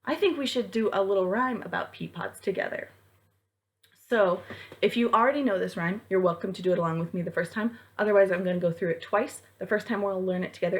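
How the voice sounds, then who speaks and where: A woman leading a children's storytime as a librarian, reading aloud and speaking directly to young listeners with prompts and questions. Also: medium pitch.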